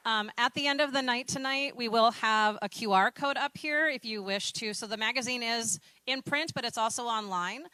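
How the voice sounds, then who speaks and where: A woman's voice: a little high, normal speed, hardly any pauses, bright, forceful tone, clear, resonant tone. A woman introducing speakers at a graduation ceremony, encouraging and full of "um" fillers. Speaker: program staff emcee.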